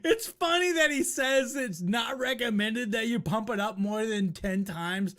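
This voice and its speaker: A man's voice: high voice, a little slow, bright, forceful tone, slight background noise. A man hosting a casual storytelling stream, speaking conversationally with some swearing and repeating himself for emphasis. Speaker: storyteller and host reacting to a video.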